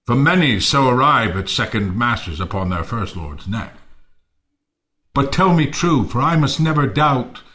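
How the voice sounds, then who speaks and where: Volatile, embittered Shakespearean lord. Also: male voice, mid-range voice, sing-song, unhurried. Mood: suspicious. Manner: declamatory, volatile, swinging from murmurs to shouts. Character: embittered, misanthropic, wild-tempered ruined lord cursing mankind. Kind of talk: Shakespearean stage-style audio drama acting.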